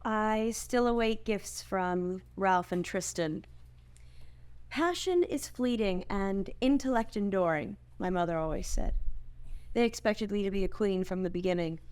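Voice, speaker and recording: A woman's voice: medium pitch, clean tone, close to an everyday voice. A woman playing a headstrong, protective young princess in a screenplay table read, formal and earnest. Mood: reflective.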